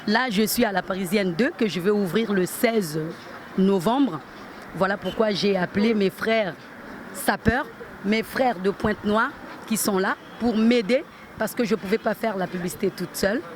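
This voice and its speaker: A Congolese woman answers questions in a mid-pitched voice, pausing on fillers as she speaks. Faint street noise sits behind her outdoors. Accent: Congolese French. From Republic of the Congo. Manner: hesitant, with many fillers. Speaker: shop owner. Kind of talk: interview answers.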